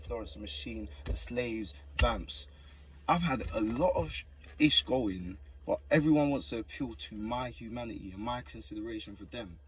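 A man's voice: on the low side, far from the mic, a little hiss or hum, rough recording. Frustrated man filming himself in a car. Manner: frustrated, defensive. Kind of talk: selfie video rant. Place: inside a car. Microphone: phone at arm's length.

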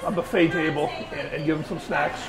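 A middle-aged man, a winery owner, answering interview questions in a relaxed, chatty way with many small pauses, on a lapel mic in an indoor tasting room. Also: a little high, over loud music, low-quality recording. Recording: lapel mic with busy crowd noise behind. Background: crowd chatter.

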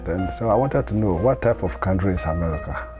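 An elder from Ghana explains himself in a measured, earnest way. His voice sits a bit low. Music plays behind the interview. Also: expressive. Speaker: congregation elder. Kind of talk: documentary interview.

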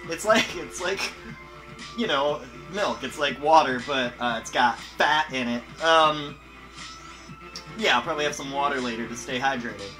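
A man's voice: medium pitch, unhurried, low-quality recording. A man in his twenties hosting a casual comedic cooking vlog, talking in a slangy, profane, self-mocking way. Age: 20s. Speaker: host of a home cooking show. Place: small home kitchen indoors. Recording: music playing behind, food frying. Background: music, sizzling pan.

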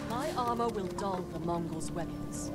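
A woman's voice: lowish voice, normal speed, over music, close to an everyday voice. A woman playing a proud, obliging armourer in a video game, respectful and assured. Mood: proud, helpful. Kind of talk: dramatic video game acting.

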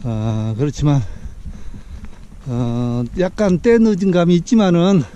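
A middle-aged man with a Korean accent narrating his hike in a calm, measured way with long pauses, recorded outdoors on an action camera. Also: on the high side, clean tone. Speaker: hiking vlogger. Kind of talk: on-the-trail vlog narration. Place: outdoors on a mountain forest trail.

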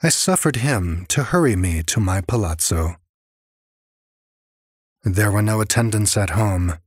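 A man narrating a gothic audiobook in a measured, theatrical, brooding manner. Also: on the low side, lively intonation, slightly rough, no echo, clean studio sound. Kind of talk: dramatic scripted gothic narration.

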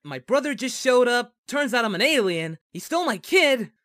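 A man's voice: extremely high pitch, big pitch swings, moderate pace, fairly bright tone, no echo, studio quality, full character voice. A man voicing a cheerful, naive, dim-witted young martial arts hero in a parody anime dub, childlike and innocently blunt. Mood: casual.

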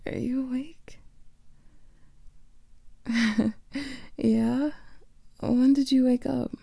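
A woman playing a playful, affectionate vampire lover in an ASMR roleplay, speaking softly and often in whispers into a close microphone. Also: high voice, fairly even intonation, extremely slow, gravelly, slightly rough, no echo. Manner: soft teasing, often whispered.

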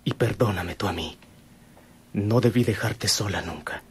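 An actor playing a tender, remorseful young man in love in a radio drama, speaking earnestly and intimately in a husky voice. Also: lowish voice, a little slow.

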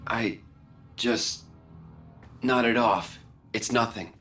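A man's voice: mid-range voice, drawn-out words. An actor playing an earnest, defiant young warrior in a video game, terse in his delivery. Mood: subdued. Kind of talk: video game character acting. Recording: echoey, under loud music.

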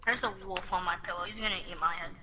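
A woman's voice: fairly low pitch, racing, dull audio, background noise. A woman playing a video game with a friend, chatting casually and teasing in a giggly, joking way over a gaming headset. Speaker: gaming co-player. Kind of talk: casual gameplay banter.

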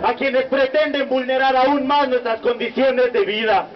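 A young man with a Mexican accent reading a solemn protest speech as a student speaker, in a measured, declamatory cadence. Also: extremely high pitch, fairly even intonation. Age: young adult. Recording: muffled lo-fi recording with faint noise.

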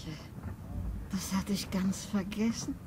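An actress playing a gentle, forgetful very old grandmother in an early 1950s radio play. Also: medium pitch, steady tone, slow, noisy background, natural voice. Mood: tender. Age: older. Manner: soft, hesitant recollection. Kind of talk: 1950s radio drama acting.

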